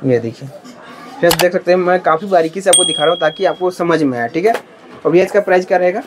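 A man's voice: high-pitched, fast, background noise. A man filming a shop-tour vlog in a card shop, talking to viewers with eager praise and repeating prices. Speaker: market vlogger.

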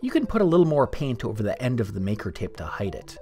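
A man reading a scripted DIY tutorial narration in a clear, step-by-step instructional way. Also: mid-range voice, very animated, fast, over music.

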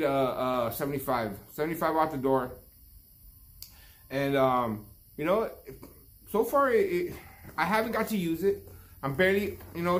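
A middle-aged man chatting casually to his vlog viewers as a barber and shop owner, often repeating phrases for emphasis. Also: fairly high pitch. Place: outside a storefront, later inside a barbershop. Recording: noisy ambient background.

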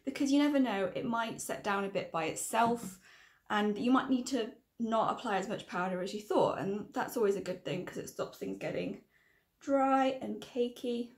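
A woman in her thirties with a croaky, tiring voice, chatting and correcting herself as she presents a casual tutorial to camera. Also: fairly high pitch, moderate pace, echoey room. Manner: chatty, rambling, self-correcting. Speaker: makeup tutorial vlogger. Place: small room indoors.

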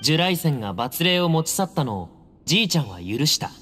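A guarded young temple heir in an anime game. The voice sits fairly high for a man and moves expressively, yet stays composed and firm. It rings in a long hall over music. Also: sing-song, quick pace, a bit bright. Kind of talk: Japanese anime game voice acting. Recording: reverberant hall, music underneath.

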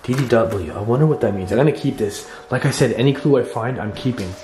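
A young man vlogging as he explores an abandoned house, talking excitedly to his viewers and swearing now and then, recorded on a handheld camera. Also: mid-range voice, fast, echoey room, background noise. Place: dark abandoned house, indoors. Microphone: handheld camera mic.

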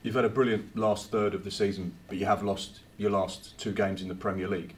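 A man's voice: lowish voice, little pitch movement, brisk, slight background noise. A man working as a reporter, asking prepared, formal questions at a press conference.

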